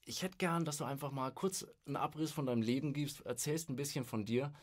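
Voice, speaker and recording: A man's voice: medium pitch, brisk. A man hosting a podcast, interviewing in a casual, conversational style. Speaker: podcast host.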